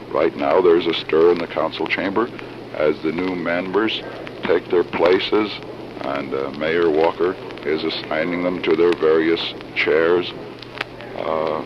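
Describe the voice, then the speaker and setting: A man reporting live on 1940s radio in a formal, measured announcing manner, with a cold. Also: low voice. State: has a cold. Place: council chamber. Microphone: broadcast microphone. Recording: noisy, muffled 1940s radio broadcast recording.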